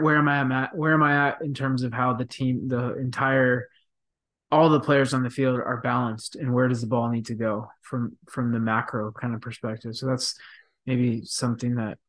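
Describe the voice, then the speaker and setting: A man in his thirties, a professional midfielder, talking softly and thoughtfully in a casual interview, with many fillers and restarts. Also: medium pitch, little pitch movement, moderate pace.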